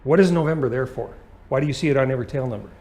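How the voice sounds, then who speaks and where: A man's voice: medium pitch, racing, a little mellow, slight background noise. A man teaching an aviation lesson as a flight instructor, explaining things and checking understanding with short questions.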